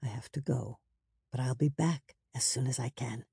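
A woman voicing a tender, steadfast man in love in an audiobook, quietly reassuring and earnest. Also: extremely low pitch, moderate pace, vocal fry, slightly rough, dry recording, clean studio sound, pitched below the performer's own voice, exaggerated voice. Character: tender, steadfast young man, devoted lover.

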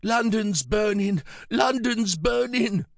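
A man voicing a frail, tired elderly war veteran who speaks in halting phrases with a croaky, wheezy voice. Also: high voice, dry recording, clean studio sound, pitched above the performer's own voice, full character voice. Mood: agitated. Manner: halting, short slow phrases.

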